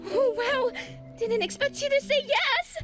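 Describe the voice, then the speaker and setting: Casting: woman as bashful lovestruck girl, nervous and awkward, high voice, slow tentative demo-reel read. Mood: nervous, hopeful. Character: shy awkward nervous young woman with a crush. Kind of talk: character demo reel acting. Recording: music under the voice.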